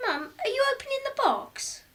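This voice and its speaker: A very high, eager schoolboy. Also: female voice, normal speed, exaggerated voice. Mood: anxious, curious. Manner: excitable, chattering. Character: eager, chattering young schoolboy son, keen stamp collector. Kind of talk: radio drama acting.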